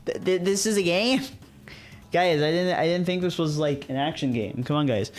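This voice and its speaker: A man's voice: fairly high pitch, normal speed. Man streaming games, music behind him. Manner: repeats himself, informal slangy reactions. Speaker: streamer reading visual novels aloud. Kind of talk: casual gaming chat and read-aloud narration.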